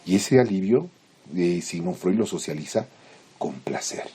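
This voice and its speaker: A man lecturing in Spanish as a teacher, explaining theory informally in halting, didactic phrases with check-in questions. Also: deep voice, expressive, mellow, slightly rough. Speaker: psychology lecturer.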